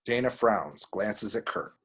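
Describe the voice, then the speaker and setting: A man reading screen directions aloud as expressive scripted narration. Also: fairly low pitch, a little slow, breathy edge. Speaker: reader of action descriptions.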